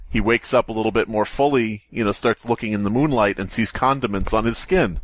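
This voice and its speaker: A man's voice: on the low side, fairly quick, no room sound, low-quality recording. A man hosting a podcast, talking casually about stories in a rambling, jokey way. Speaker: podcast host. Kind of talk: casual story discussion.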